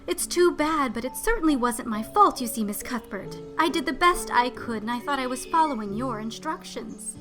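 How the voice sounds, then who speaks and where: A woman's voice: sits high, animated, normal speed, few pauses, music in the background. A woman playing a fussy, bustling, chatty small-town housewife who gushes and exclaims, in a dramatized storybook. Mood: flustered, apologetic. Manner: effusive, exclamatory, gushing. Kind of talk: dramatized audiobook acting.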